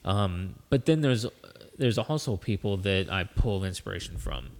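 A man with a lowish voice, talking earnestly and rambling with many restarts as a podcast host. The sound has a long, hall-like reverb. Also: lively intonation, normal speed. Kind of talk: casual chat.

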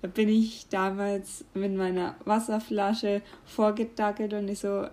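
A young woman speaks in a relaxed, unhurried way. She chats casually, with fillers and small laughs. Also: medium pitch. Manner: chatty, laughs often, hesitant fillers. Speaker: vlogger. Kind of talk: casual advice talk.

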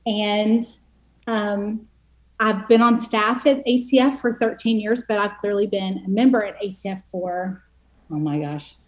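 A woman's voice: medium pitch, unhurried. A woman in her forties from East Texas, a podcast guest telling her story in a chatty way that often laughs at herself. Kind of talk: casual conversational storytelling.

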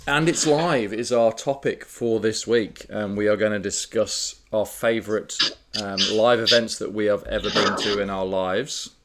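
A man co-hosting a casual podcast, telling a story in a relaxed, chatty way with frequent fillers. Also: fairly low pitch, moderate pace. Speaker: podcast co-host. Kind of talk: casual chat.

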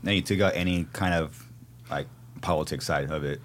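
A man's voice: a bass voice, fairly quick. A man hosting a casual podcast interview, enthusiastic and repetitive, swearing freely. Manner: enthusiastic, swearing, repeats himself. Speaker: podcast host.

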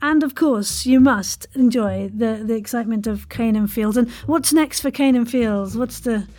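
A woman's voice: a little high, normal speed, warm tone. A woman hosting a casual, chatty podcast interview in a playful, effusive way with flowery wording.

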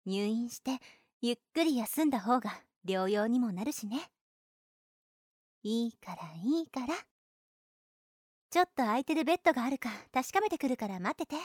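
A woman's voice: on the high side, lively intonation, normal speed, pauses often, dry recording, studio quality. A woman playing a caring, insistent, overprotective older sister in a Japanese audio drama. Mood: insistent worry. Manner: gentle, fussing, attentive. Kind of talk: Japanese situation voice drama acting.

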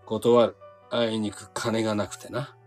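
An actor playing a gruff, grumbling middle-aged detective in a comical hard-boiled audio drama, with a curt, blunt manner. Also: male voice, lowish voice, flat delivery, measured pace, a little mellow, soft background music, close to an everyday voice. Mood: grumbling, wary. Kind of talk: Japanese audio drama acting.

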